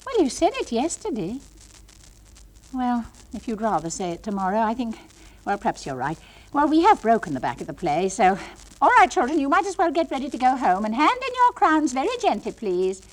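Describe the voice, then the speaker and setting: A woman playing a patient, brightly patronising English nursery school teacher in a comic monologue, coaxing and gently scolding small children in a sing-song way. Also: on the high side, wildly swooping pitch, brisk, poor audio. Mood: patient, encouraging. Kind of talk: comic monologue, one side of classroom talk.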